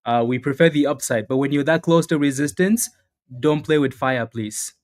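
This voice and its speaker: A man hosting a live stock trading stream, chatting casually and upbeat, repeating phrases and calling out viewers by name, a little hoarse and clearing his throat now and then. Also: fairly high pitch, moderate pace. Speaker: stock trading livestream host. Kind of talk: live market commentary with chat shout-outs.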